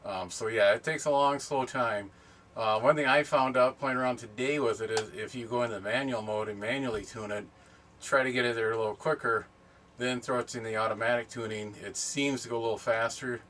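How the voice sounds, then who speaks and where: A middle-aged man talks off the cuff for a vlog, in a medium-pitched voice. He rambles and hesitates as he explains things. He sounds some way from the microphone, with faint noise behind him. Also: fairly even intonation, fairly quick, fairly bright tone. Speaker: camping and ham radio vlogger. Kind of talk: casual unscripted vlog chat. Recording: distant mic, background noise.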